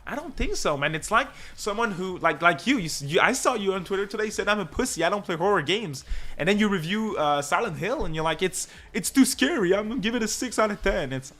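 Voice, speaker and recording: A man's voice: high-pitched, quick pace. A man with a French accent, co-hosting a casual podcast and rambling through his thoughts with restarts. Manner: relaxed, rambling with restarts and laughter. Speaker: podcast co-host. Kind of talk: casual chat.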